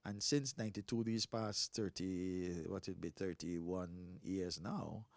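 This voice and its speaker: A man in his sixties from Belize, a pastor telling stories in a relaxed interview, animated and quick to laugh. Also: low-pitched, gravelly, dry recording. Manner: animated storyteller, laughs often. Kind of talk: casual interview talk.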